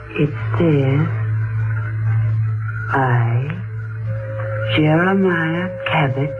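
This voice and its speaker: A tinny 1940s radio recording with music behind carries an earnest young woman. She speaks very slowly and with hushed awe, in halting, dramatic radio-play style. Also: sits low. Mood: solemn reading. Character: earnest, awed young woman, the patriarch's descendant.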